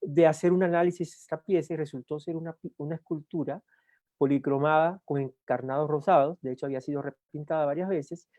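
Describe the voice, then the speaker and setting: A man's voice: fairly high pitch, fairly even intonation, mellow, dry recording. Cuban man giving a university talk. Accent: Cuban Spanish. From Cuba. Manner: unhurried with frequent "eh" fillers and restarts. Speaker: guest lecturer.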